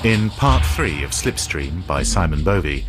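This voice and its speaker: Guy announcing credits, really low voice, slow, loud music under him. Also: sing-song. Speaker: closing announcer.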